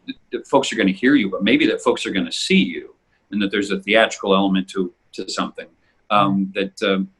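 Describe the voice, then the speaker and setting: A middle-aged man with a husky voice, hosting a casual conversational interview. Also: low voice, a little fast, a bit bright, low-quality recording. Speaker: host.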